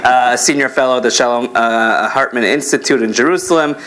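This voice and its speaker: A man's voice: medium pitch, brisk, fairly bright tone. A man raised in Israel moderating a conference panel in a chatty, self-deprecating way, with many fillers. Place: conference hall.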